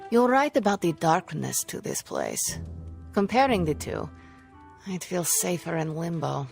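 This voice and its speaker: A woman playing a gloomy young sorceress in a video game with dry, deadpan wit. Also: on the low side, a little slow, vocal fry, heavily acted. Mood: dryly amused. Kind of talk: video game cutscene acting. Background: music.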